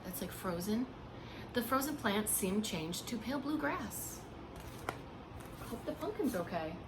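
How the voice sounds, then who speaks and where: A woman with a medium-pitched voice reads a children's story aloud in the manner of a preschool teacher. She tells it expressively, dropping into hushed emphasis and speaking simply and directly to little listeners. There is clear background noise on the recording. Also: expressive, measured pace. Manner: simple explanations and questions addressed to small children. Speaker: pre-K teacher. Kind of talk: read-aloud of a children's picture book with chatty asides to young listeners.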